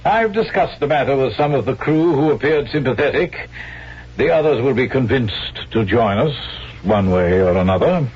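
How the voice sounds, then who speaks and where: A man's voice: mid-range voice, lively intonation, normal speed, slightly rough. An actor playing a glib, callous male swindler in old-time radio drama, with folksy mock courtesy. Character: sly, jovial male swindler with a callous streak. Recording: muffled vintage radio broadcast.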